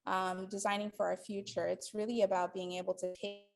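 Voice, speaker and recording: A woman's voice: mid-range voice, little pitch movement, a little fast, gravelly. A woman in her forties, from the Dominican Republic, giving a lecture to a live audience in a hall, thinking aloud with many ums and restarts. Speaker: guest lecturer.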